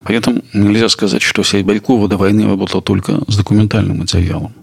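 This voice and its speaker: Male podcast host, husky with heavy fry, scripted narration. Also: fairly low pitch, flat delivery, fast talker.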